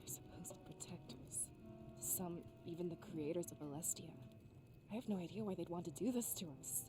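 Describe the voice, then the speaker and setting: A woman voicing a wary, grave female rebel fighter in a scripted visual novel, with a measured and serious delivery. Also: fairly low pitch, lightly acted. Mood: grave, uneasy. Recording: music behind the voice. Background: game music.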